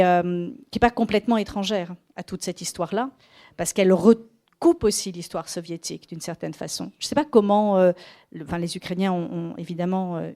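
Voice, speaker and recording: A woman with a low, warm voice leads a bookshop interview with an author. She keeps the exchange moving with frequent agreement and reshapes her questions as she speaks.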